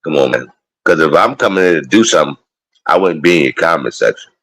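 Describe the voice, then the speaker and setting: A man talks casually and profanely on a live stream. His voice sits very low. The sound is dry and close. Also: expressive, quick pace, fairly bright tone, dry recording, poor audio. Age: 40s. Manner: loose, profane street talk. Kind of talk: casual chat.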